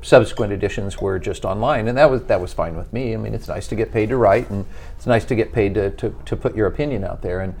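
A middle-aged wine writer, chatting as a guest and telling wry, animated stories. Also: male voice, on the low side, quick pace, vocal fry. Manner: animated storyteller, wry, mimics voices in quotes. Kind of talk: casual interview chat.

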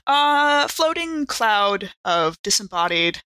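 A woman playing a tabletop roleplaying game, improvising dialogue in a halting, deliberate way. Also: medium pitch, big pitch swings, bright, forceful tone, dry recording.

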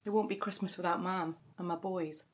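Actress as a practical, restrained sister. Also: female voice, fairly low pitch, steady tone, brisk, close to an everyday voice. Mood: subdued. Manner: understated, dry humour. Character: practical, self-controlled grieving sister and mother. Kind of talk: naturalistic audio drama acting, comedy and pathos.

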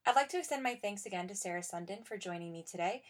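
Brisk woman reading an outro. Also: mid-range voice, lively intonation, loud music behind the voice. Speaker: podcast host. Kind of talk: scripted podcast outro.

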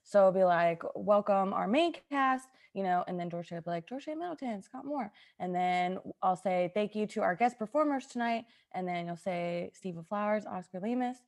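A woman's voice: mid-range voice, expressive, moderate pace, hardly any pauses. Casual woman hosting a podcast chat. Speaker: podcast host.

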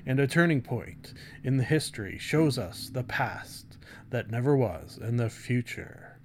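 A man voicing a grave, melodramatic narrator in a dramatic reading of epic book jacket copy, with halting, heavy emphasis. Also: medium pitch, animated, slight background noise. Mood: solemn, rousing. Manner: theatrical, halting, heavily emphatic.

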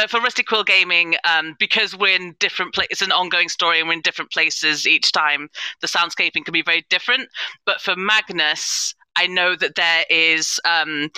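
Woman, British accent, panel guest, very bright tone, smooth and clear. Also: fairly low pitch, no echo, low-quality recording. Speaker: podcast panellist, audio drama performer. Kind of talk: panel discussion.

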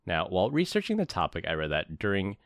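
A man hosting a podcast, reading the show intro in a measured, upbeat tone. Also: sits low, huge pitch swings, no room sound, clean studio sound. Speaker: podcast host. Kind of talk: casual chat and scripted show intro.

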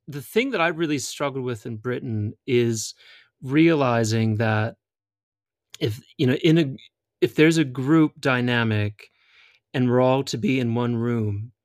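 A man's voice: medium pitch, measured pace, no room sound. An American man hosts a loose interview, teasing his guest and winding through long questions. His voice is close and dry, with no room sound at all. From United States. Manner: joking, teasing, rambling. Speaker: podcast host. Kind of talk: casual interview chat.

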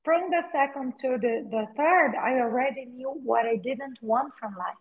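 A middle-aged Brazilian woman with a Portuguese accent, speaking English as a second language, talking as an interview guest and pausing often with "uh" and repeated words. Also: high-pitched, narrow, tinny audio. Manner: hesitant, with frequent "uh" pauses and repeated words. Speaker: guest, attorney and novelist. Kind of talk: conversational interview.

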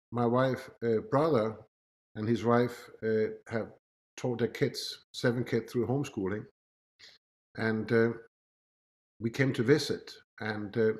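A man from Denmark, a father answering interview questions in a measured, hesitant way with frequent pauses. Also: medium pitch. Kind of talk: conversational interview.

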